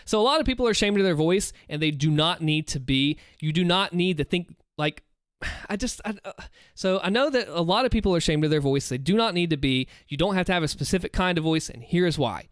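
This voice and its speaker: Chatty Southern man, lively pitch. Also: fairly quick, smooth, no room sound, studio quality. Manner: chatty, encouraging, thinks aloud while reading chat. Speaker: streamer. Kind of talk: casual livestream chat. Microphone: close desk mic with headphones.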